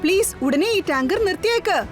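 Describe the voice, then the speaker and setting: Brave boy cartoon superhero, very high voice, earnest, commanding, music behind. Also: expressive, brisk. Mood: determined. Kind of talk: dubbed children's cartoon acting.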